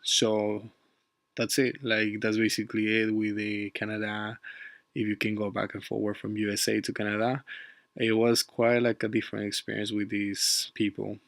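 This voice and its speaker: A man's voice: fairly low pitch, completely flat delivery, moderate pace, vocal fry, fairly bright tone, no echo. A young man from Ecuador with an Ecuadorian Spanish accent, narrating his travel vlog in chatty, loose English full of fillers and the odd Spanish word. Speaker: travel vlogger.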